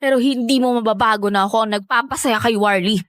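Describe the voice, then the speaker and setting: A Filipino woman acting in a radio drama as a defiant, whiny young mistress, brazen and quick to defend herself. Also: on the high side, a bit bright, dry recording, studio quality, lightly acted.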